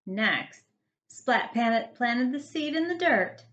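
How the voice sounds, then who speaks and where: Animated, bright-voiced woman reading to children. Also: fairly high pitch, slow, muffled recording. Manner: animated, emphatic storytelling. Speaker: first grade teacher reading to pupils. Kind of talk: expressive picture-book read-aloud for young children.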